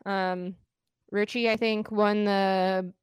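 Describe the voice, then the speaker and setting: Chatty woman with a smooth voice. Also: mid-range voice, flat delivery, takes it slowly, no room sound, clean studio sound. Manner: chatty, many fillers and restarts. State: asthmatic cough at times. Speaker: podcast co-host. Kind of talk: casual chat.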